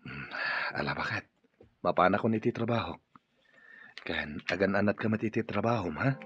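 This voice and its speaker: A man's voice: on the low side, normal speed, a bit bright, muffled recording, slight background noise, lightly acted. A man playing a loving, devoted husband who speaks tenderly in an Ilocano radio drama. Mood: matter-of-fact.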